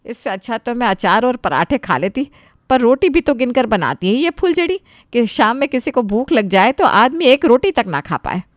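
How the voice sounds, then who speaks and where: A woman's voice: on the high side, sing-song, moderate pace, dry recording, studio quality. A woman playing a thrifty, disapproving older mother-in-law in a dramatized family story. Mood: grumbling.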